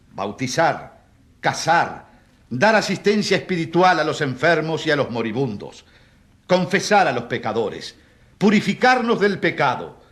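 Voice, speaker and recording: An actor playing a pompous, sanctimonious prosecutor in a radio courtroom drama, oratorical and emphatic. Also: male voice, on the high side, fairly bright tone.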